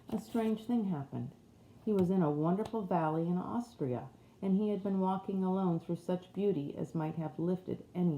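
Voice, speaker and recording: A woman's voice: sits low, dark tone. A woman reading a storybook aloud as scripted narration. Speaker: audiobook reader. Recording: roomy echo with faint background noise.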